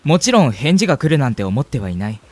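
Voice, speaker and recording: A man playing a gentle, wistful young university student who narrates a radio drama in an earnest, reflective way. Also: mid-range voice, very animated, fast, slightly rough, faint music behind. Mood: earnest, wondering. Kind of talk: radio drama acting with first-person narration.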